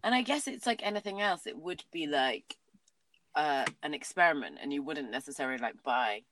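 A woman with a fairly deep voice chatting casually, rambling with lots of fillers and frequent laughter. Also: lively intonation, brisk, a bit bright, a little hiss or hum. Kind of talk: casual chat. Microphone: not a proper microphone.

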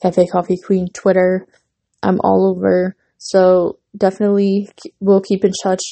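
A woman's voice: mid-range voice, completely flat delivery, unhurried, gravelly, mellow. A young woman hosting a casual solo podcast, rambling with many fillers and restarts while she is losing her voice and has a runny nose. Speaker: podcast host.

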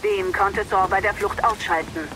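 An actress playing an urgent, battle-worn resistance fighter in a game audio drama, brisk, over a radio link. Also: female voice, mid-range voice, fairly even intonation. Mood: urgent, weary. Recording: radio transmission with faint noise.

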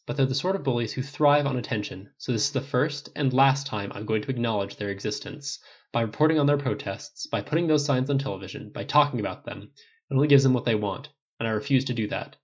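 A man voicing a stern, principled superhero captain who speaks in a tight, firm, deliberate way. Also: medium pitch, husky, highs cut off.

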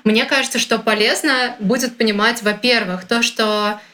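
A young woman from Russia chatting casually as a guest, lively and informal with plenty of slang. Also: a little high, moderate pace. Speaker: guest, content creator. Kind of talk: casual conversation.

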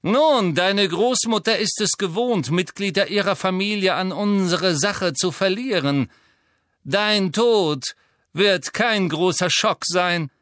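A man's voice: sits high, steady tone, pushed and bright, clear, resonant tone, no echo, clean studio sound, pitched above the performer's own voice, heavily acted. A man doing a cold sneering nobleman villain. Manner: sneering, mocking, slow and pointed.

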